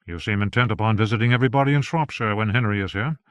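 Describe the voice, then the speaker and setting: A man voicing a reserved gentleman husband who speaks in a measured, dry, subdued way. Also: lowish voice, clean tone, no echo, natural voice.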